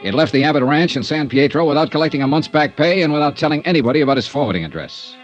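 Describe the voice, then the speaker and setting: A man's voice: fairly high pitch, lively intonation, rapid-fire, muffled recording, faint music behind, natural voice. An actor playing a confident, dry male insurance investigator who also narrates, in a 1950s radio drama. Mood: probing. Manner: dry, probing, understated.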